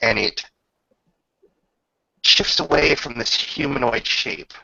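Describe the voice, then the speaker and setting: A man improvising dramatic, descriptive narration as game master of a tabletop roleplaying game, pausing between phrases. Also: mid-range voice, vocal fry, bright, forceful tone, dry recording, lo-fi sound.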